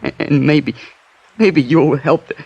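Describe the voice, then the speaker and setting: A man's voice: on the high side, a little slow, creaky, darkish tone, breathy edge, highs cut off, exaggerated voice. An actor playing a nervous, guilt-ridden young fisherman, stammering and pleading in 1950s radio drama style. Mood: resigned, fearful.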